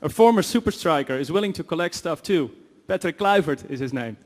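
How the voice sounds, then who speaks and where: A man with a fairly high voice recites a rhymed poem from the audience. The long reverb of a large hall trails behind every line. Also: animated, brisk. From Netherlands. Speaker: audience member.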